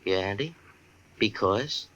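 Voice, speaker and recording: A needling pool hall wise guy, slangy, sharp bright voice all over the place, old radio drama. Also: fairly low pitch, animated, slow, lo-fi sound. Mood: taunting. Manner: slangy, taunting. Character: sly, needling pool-room hustler. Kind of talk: radio suspense acting.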